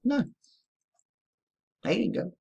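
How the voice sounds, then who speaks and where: A man's voice: very slow, lo-fi sound. A man from the United States, a military veteran, delivering a profane, emphatic ranting monologue to his listeners. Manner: profane, emphatic, addresses listeners as ladies and gentlemen.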